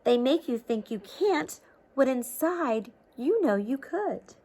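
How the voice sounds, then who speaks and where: A woman voicing wise bumblebee parents in a children's storybook, giving gentle, emphatic encouragement. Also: sits high, very animated, takes it slowly, pitched above the performer's own voice, exaggerated voice. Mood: reassuring.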